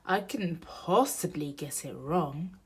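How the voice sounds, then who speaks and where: Woman, children's story read-aloud, lowish pitch, unhurried pace, expressive storytelling delivery. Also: husky. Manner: slow, animated storytelling for young children. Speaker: storybook reader.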